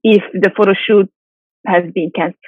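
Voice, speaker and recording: A woman's voice: medium pitch, steady tone, moderate pace, breathy edge, no echo. A Hungarian-native woman, a model and actress, answering interview questions in a chatty way with lots of fillers and restarts. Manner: chatty, many fillers and restarts, light laughs. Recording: dry tinny phone-like call audio.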